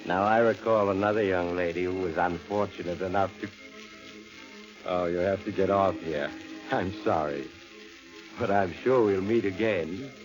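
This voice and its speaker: A man's voice: sits low, moderate pace, close to an everyday voice. A man playing a sly, eerie storyteller on a train, confiding with macabre wit in 1940s radio style. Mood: wry, sinister. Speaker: host narrator. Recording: muffled old radio recording with music.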